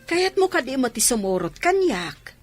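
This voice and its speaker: A woman's voice: sits high, big pitch swings, normal speed, soft background music. A woman playing a chatty, eager village woman in a comedy radio drama. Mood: eager, coaxing. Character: chatty village woman keen on city shopping. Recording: faint background ambience noise.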